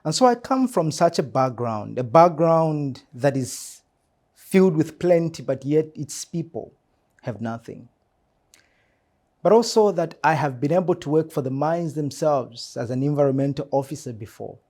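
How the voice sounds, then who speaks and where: A man with a Zambian accent speaks in a slightly high, warm and relaxed voice. He answers like a church official in an interview, earnest and measured, leaning on repeated phrases to press his point. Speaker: church mission secretary.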